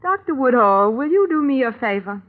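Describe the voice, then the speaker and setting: A woman playing a cool-headed, blunt young murder suspect in a 1940s radio thriller, brisk and direct, with an American accent. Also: on the high side, lively intonation, close to an everyday voice. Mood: cool, insistent. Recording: muffled, band-limited 1940s radio recording.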